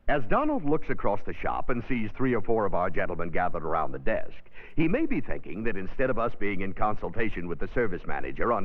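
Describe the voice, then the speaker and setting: An actor playing an exasperated, sardonic car service man narrating a comic 1960s training film in slangy, theatrical style. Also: on the low side. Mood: wry, defensive. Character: wisecracking, exasperated car dealership service man griping about customers. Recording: tinny, band-limited 1960s film soundtrack, music behind.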